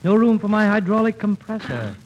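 A man's voice: sits high, sing-song, a little fast. A man playing a mild, polite, quirky scientist professor with courteous, precise delivery in old radio comedy. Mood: earnest, whimsical. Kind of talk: 1940s radio comedy acting. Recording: dull old radio recording, faint noise.

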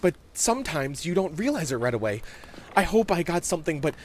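A man's voice: a little high, big pitch swings, a little fast, slight background noise, voice pushed up. A man voicing an eager, proud young boy in a narrated story, earnest and prone to stammering. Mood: hesitant.

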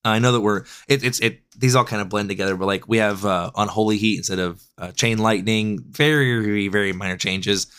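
A man with a raspy voice, hoarse from a cold, casually breaking down card game decklists as a podcast host, often correcting himself mid-sentence. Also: fairly low pitch, fairly quick, hardly any pauses, a bit bright. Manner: loose, rambling with frequent self-corrections. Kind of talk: casual deck analysis.

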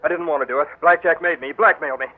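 An actor playing a frightened, stammering bank robber who is caught and begs for another chance, in 1940s radio acting. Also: male voice, fairly high pitch, steady tone, racing, lightly acted. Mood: pleading. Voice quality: panicky, stammering. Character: cornered male bank robber, frightened and pleading. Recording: tinny old radio broadcast.

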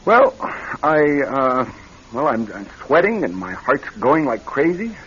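An actor in a radio drama playing a nervous young married executive troubled by bad dreams, speaking in a halting, earnest way. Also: male voice, mid-range voice, very animated, fairly bright tone, breathy edge, exaggerated voice. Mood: anxious, defensive. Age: young adult. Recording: dull band-limited old radio recording.

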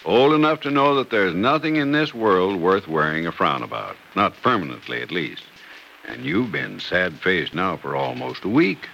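An American actor playing a fatherly, wry old man in an old-time radio soap, speaking in a folksy, hesitant, gently teasing way. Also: low voice, lively intonation, normal speed, creaky, muffled recording, exaggerated voice. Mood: gentle concern. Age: older. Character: fatherly, wry old man advising a worried young wife. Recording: noisy old radio transcription recording.

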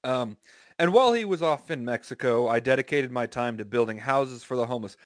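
A man's voice: mid-range voice, lively intonation, a little fast, dry recording, clean studio sound. A man reading aloud as a podcast co-host, informal and profane, breaking into casual banter.

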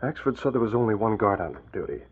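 A cool, decisive crime-fighter from a vintage radio serial. He gives brisk orders with wide swings of pitch, and the recording is old and muffled. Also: expressive, slightly rough. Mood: cautious. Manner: brisk, authoritative. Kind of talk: 1940s radio acting.

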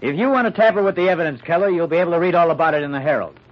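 An actor playing a brash, wisecracking newspaper reporter in an old-time radio mystery, with blunt, slangy, sardonic delivery. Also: male voice, fairly high pitch, very fast, dull audio, lightly acted. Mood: commanding.

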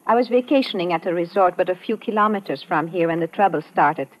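A woman's voice: fairly low pitch, lively intonation, fast, dull audio, lightly acted. An actress playing a cool, skeptical woman chemist with a German accent and formal manner in an old radio drama. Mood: formal, composed. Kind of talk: vintage radio drama acting. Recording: old classic radio recording.

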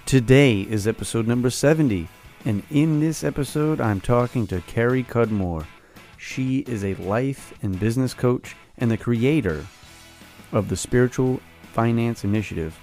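A man hosting a podcast, raspy while getting over a cold, speaking in a relaxed, upbeat way. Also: mid-range voice, normal speed, faint music behind. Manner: relaxed, upbeat, loose with fillers. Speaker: podcast host. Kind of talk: casual podcast interview.

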